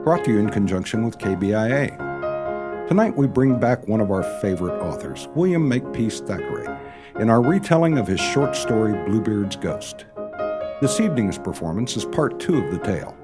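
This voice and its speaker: Radio theatre announcer: man, very varied intonation, fairly low, scripted story recap over music. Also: very animated, moderate pace. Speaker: announcer and narrator of a radio play. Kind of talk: scripted dramatic narration.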